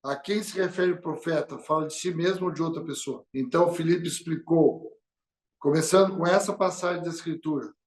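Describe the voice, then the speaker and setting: A man's voice: on the high side, brisk, a little mellow. A man preaching a sermon, full of rhetorical questions and calls of amen. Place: church hall. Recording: echoey church hall.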